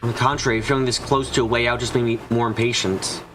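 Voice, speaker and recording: A man's voice: mid-range voice, little pitch movement, fast, over music, close to an everyday voice. A man voicing an anxious, frightened narrator trapped and desperate, in a first-person visual novel fan dub. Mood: uneasy, brooding. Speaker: voice actor narrating.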